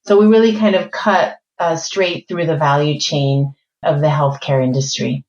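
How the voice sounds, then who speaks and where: A woman born in France and raised mainly in Spain, with a mixed accent shaped by American and British English, speaking as a guest in a podcast interview in a measured, articulate way. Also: deep voice, steady tone, a little mellow, reverberant, muffled recording. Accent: mixed French, Spanish, American and British. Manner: measured, articulate, thinks aloud with fillers. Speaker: corporate learning executive, guest.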